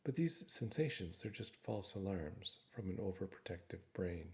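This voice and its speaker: Deep, gravelly man guiding a meditation. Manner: calm, soothing, measured with frequent pauses. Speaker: guided meditation narrator. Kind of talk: guided meditation, gentle scripted narration.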